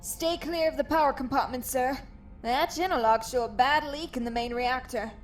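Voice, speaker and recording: A frank military spacer acted by a woman speaks in a high voice. She is plain-spoken and a little uneasy in a sci-fi comic dub, with music clearly behind her. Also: moderate pace, natural voice. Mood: blunt. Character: frank, uneasy female military crew member. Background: prominent music.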